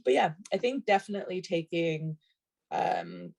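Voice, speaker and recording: Brief: woman, late twenties, from Canada, gravelly fry, chatty panelist on a video call, loose filler-heavy delivery. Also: on the low side, moderate pace, a little mellow. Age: 20s. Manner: chatty, many fillers and self-corrections. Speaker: national team rugby player, panelist. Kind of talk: casual panel talk.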